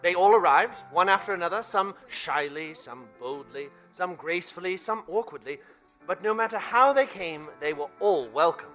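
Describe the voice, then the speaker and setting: An actor narrating a Christmas tale as an earnest storyteller in a radio play. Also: male voice, high-pitched, lively intonation, normal speed, bright, forceful tone, soft background music. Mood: warm storytelling. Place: theater stage.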